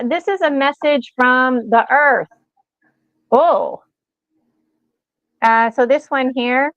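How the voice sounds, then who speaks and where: A woman's voice: on the high side, no room sound, muffled recording. A woman in her forties giving a casual oracle card reading, chatty and rambling, laughing often and acting out little voices. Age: 40s.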